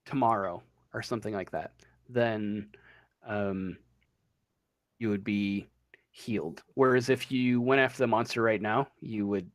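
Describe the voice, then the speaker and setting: A man's voice: lowish voice, fairly even intonation, measured pace, no room sound. Hesitant man running a tabletop game. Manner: hesitant, filler-heavy, trailing off. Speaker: game master.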